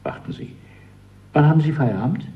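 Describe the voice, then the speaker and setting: An actor playing a courteous, guarded male party official in a radio drama, speaking politely and deliberately with an insinuating undertone. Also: on the high side, expressive, narrow, tinny audio, lightly acted.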